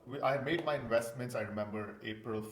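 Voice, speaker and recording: A man's voice: medium pitch, fairly quick, slight background noise. A man from India speaking casually as a guest in an interview, recorded in an echoing room. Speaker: interview guest, golden visa client. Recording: roomy, echoing indoor sound.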